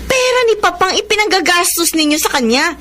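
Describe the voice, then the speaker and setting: Very high-pitched indignant daughter. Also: female voice, big pitch swings, a little fast, bright, forceful tone, full character voice. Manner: scolding, heated. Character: indignant grown daughter, confrontational, reproachful. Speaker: radio drama actress. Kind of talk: Tagalog radio melodrama acting.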